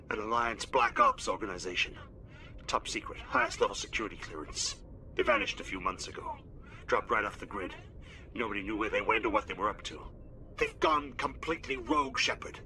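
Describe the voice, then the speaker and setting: An actor playing an urgent, rattled admiral leaving a message in a video game, gravelly and forceful. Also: male voice, mid-range voice, a little hiss or hum, exaggerated voice. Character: urgent, rattled senior male navy admiral. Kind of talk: video game dialogue acting.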